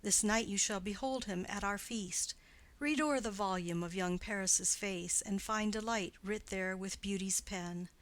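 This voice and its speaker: A woman voicing a stately noble mother in a dramatic play reading, measured and rather low and quiet. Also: close to an everyday voice. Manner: measured, lower and quieter than the other parts.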